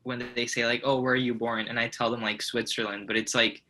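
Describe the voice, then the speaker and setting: A man chatting casually with friends, halting when introducing himself and emphatic when venting. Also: medium pitch. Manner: hesitant at first, then animated and emphatic. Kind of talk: casual chat among friends.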